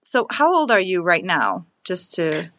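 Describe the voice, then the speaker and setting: A woman's voice: fairly low pitch, wildly swooping pitch, unhurried, a bit bright. A woman in her thirties hosting a podcast interview, playful and teasing, laughing often. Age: 30s. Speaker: podcast host. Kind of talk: casual interview.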